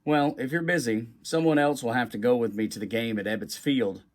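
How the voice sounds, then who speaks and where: A man's voice: medium pitch, fairly even intonation, a little fast, lightly acted. Man reading as an encouraging, matter-of-fact grandmother.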